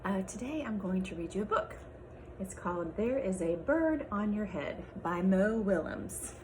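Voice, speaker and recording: A woman reading a picture book aloud for children in an expressive, unhurried way. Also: medium pitch, a little hiss or hum. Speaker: story reader.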